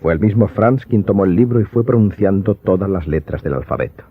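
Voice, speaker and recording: A man's voice: low voice, brisk, slightly rough, dry recording. A man delivering scripted narration for a radio drama audiobook, as the storyteller. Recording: muffled, narrow-band audio with faint music.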